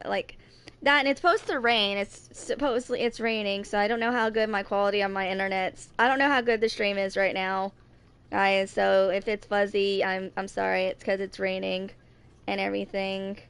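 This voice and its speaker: A woman in her twenties streaming a video game, talking casually in a rambling, halting way that circles back on itself. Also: mid-range voice, fairly even intonation, a bit bright, clean tone. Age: 20s. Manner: rambling, halting, repeats herself. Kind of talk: casual livestream chat with some reading aloud of game text.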